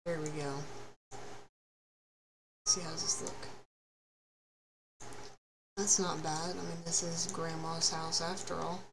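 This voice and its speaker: A high-voiced young man in his late teens from Texas, chatting casually and rambling self-deprecatingly while streaming a game on a headset. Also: flat delivery, slow pace, vocal fry, pauses often. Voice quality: high, youthful-sounding voice. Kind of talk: casual live-stream chat while playing. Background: ambient noise, thunder outside.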